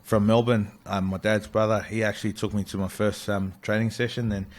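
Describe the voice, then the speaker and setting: A young man from New Zealand, a rugby league player talking as a guest, soft-spoken and hesitant with many pauses. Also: on the low side, level tone. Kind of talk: casual interview chat.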